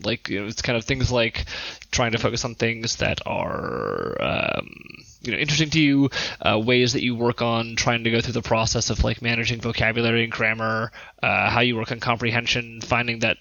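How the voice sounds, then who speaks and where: A man's voice: mid-range voice, creaky, pushed and bright, no room sound, dull audio. A man hosting a podcast, chatting and asking questions in a casual style. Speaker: podcast host. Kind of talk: casual interview chat.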